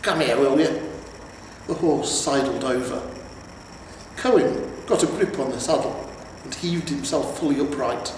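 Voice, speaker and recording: A man's voice: mid-range voice, a little slow, a little mellow, far from the mic. A man reading scripted fantasy fiction aloud as a storyteller. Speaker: amateur audiobook narrator. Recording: very echoey reverberant room, faint noise.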